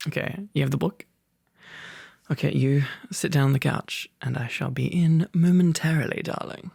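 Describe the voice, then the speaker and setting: A man's voice: a little high, normal speed, vocal fry, a little mellow, husky, no room sound. A young man speaking in a casual, playful and teasing boyfriend-roleplay ASMR style, rambling as he chats to the listener. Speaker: ASMR roleplay performer, reader.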